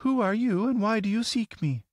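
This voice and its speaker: Man putting on a very high, regal fairy lady Oz. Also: lively intonation, moderate pace, darkish tone, dry recording, clean studio sound. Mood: regal, sweet. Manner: regal, lofty. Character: lovely winged lady wizard, sweet yet haughty. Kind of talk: storybook character voice.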